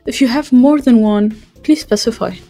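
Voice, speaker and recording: A woman's voice: fairly high pitch, normal speed, mellow, faint music behind. A woman from France hosting a podcast, reading out a scripted introduction and survey questions. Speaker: podcast host.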